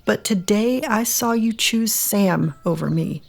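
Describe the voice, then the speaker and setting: A woman's voice: medium pitch, a little slow, vocal fry. An actress playing a tough, sardonic woman in an audio drama, blunt and dry in her delivery. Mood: hurt, firm. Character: tough, wounded, sardonic woman standing up to men.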